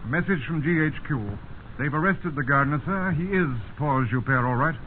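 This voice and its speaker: An actor playing a dutiful, sceptical British junior army officer, formal and deferential, in 1950s radio drama style. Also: male voice, on the high side, normal speed, lightly acted. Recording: tinny, noisy 1950s radio recording.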